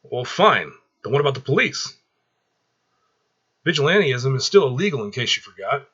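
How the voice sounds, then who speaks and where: A man's voice: medium pitch, sing-song, moderate pace, a bit bright, slightly rough, dull audio, voice pushed up. A man voicing an anxious, protective mother who pleads and scolds, in a story read aloud. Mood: alarmed.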